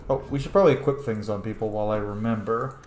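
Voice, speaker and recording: A man's voice: lowish voice, fast, a little mellow. Informal man doing gameplay commentary, echoey room. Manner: informal, sweary, muttering to himself. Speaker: gamer hosting a playthrough. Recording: echoey room, faint noise.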